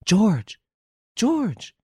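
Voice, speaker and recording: A man's voice: a little high, wildly swooping pitch, moderate pace, gravelly, darkish tone, slightly rough, no echo, clean studio sound, pitched above the performer's own voice, full character voice. A man voicing a passionate, defiant young woman film star in an audiobook story, breathless and emotional. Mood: yearning, pleading.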